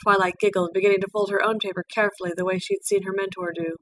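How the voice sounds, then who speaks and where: A woman narrating a story aloud as an expressive amateur reader. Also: medium pitch, racing. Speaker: fan fiction reader. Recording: distant mic with background noise.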